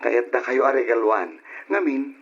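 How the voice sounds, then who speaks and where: A man's voice: mid-range voice, animated, moderate pace, a bit bright. A young Filipino man with an Ilocano accent playing a dutiful, affectionate son in an old radio drama. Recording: dull, noisy old radio drama recording.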